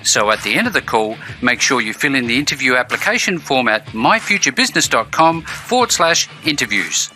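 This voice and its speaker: A man's voice: medium pitch, big pitch swings, brisk, few pauses, sharp tone, faint music behind. A man hosting a business interview show in an upbeat, conversational style.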